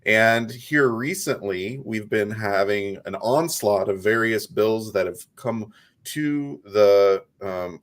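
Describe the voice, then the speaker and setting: A man hosting a casual interview, speaking in an easygoing way with many fillers. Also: on the low side. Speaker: podcast host.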